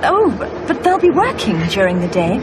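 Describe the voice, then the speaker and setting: An actress playing a poised, bitter woman in a radio play, polite and measured in manner. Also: on the high side, very animated, background noise. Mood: polite, reserved.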